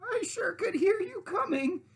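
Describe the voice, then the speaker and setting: A man's voice: extremely high pitch, expressive, gravelly, pitched above the performer's own voice, full character voice. A man voicing a small, sly female sand witch in a storybook, cackling and stretching out her words as she calls. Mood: mocking. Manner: cackling, drawn-out calling.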